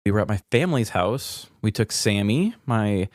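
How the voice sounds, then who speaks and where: A man in casual podcast conversation as co-host. His voice sits fairly low, and he talks informally and reflectively. The recording is dry and free of room sound. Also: expressive, normal speed, dry recording.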